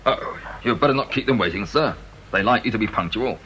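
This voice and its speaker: Low-voiced, dutiful porter. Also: male voice, animated, fairly quick, bright, forceful tone, rough recording. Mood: polite, firm. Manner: deferential but firm, by-the-book. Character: dutiful, respectful male security porter. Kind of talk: British radio drama acting. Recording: old radio recording with faint noise.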